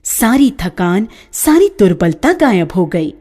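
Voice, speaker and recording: A woman narrating a children's story in a scripted storybook style, with an expressive, lilting delivery. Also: mid-range voice, slow.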